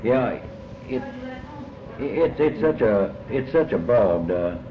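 An older man speaking reflectively in an interview about his life, halting and often repeating words as he rephrases. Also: mid-range voice, very animated, a crowd in the background. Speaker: interviewee recalling early life. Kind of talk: reflective oral history interview. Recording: muffled, noisy, echoey room recording.

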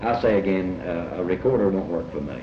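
An older man, being interviewed as a writer and speaking reflectively, with halting, repetitive, rambling phrasing. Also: sits low, lively intonation. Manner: halting, rambling, with many repetitions and long hesitations. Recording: muffled, echoey, noisy amateur interview tape.

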